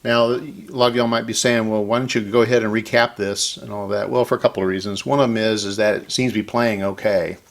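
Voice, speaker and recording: A man's voice: fairly low pitch, fairly even intonation, quick pace, creaky, few pauses. A man talking casually and conversationally in a folksy way as he hosts a hands-on electronics repair demonstration. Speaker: host of electronics repair videos.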